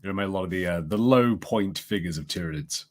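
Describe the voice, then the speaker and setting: A man's voice: low-pitched, fast talker. A man taking part in a casual podcast discussion, speaking politely and a little tentatively. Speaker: podcast guest panelist. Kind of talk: casual pop-culture discussion.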